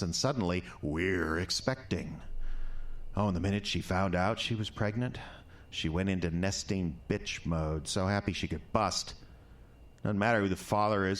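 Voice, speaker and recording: An actor playing an unstable, bitter drunk ex-husband who rambles crudely and menacingly in an audio drama. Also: male voice, low-pitched. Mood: scornful, bitter.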